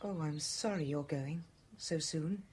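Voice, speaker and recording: A woman's voice: low-pitched, a little slow, darkish tone, husky. A British woman playing a secretive, emotional wife of a murdered man in a radio drama. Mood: subdued.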